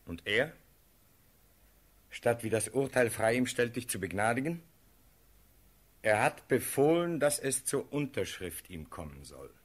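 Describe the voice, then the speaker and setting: An actor playing a prince's loyal, anxious friend in a radio play, speaking verse in an earnest, measured, husky voice. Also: male voice, mid-range voice, big pitch swings. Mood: grave.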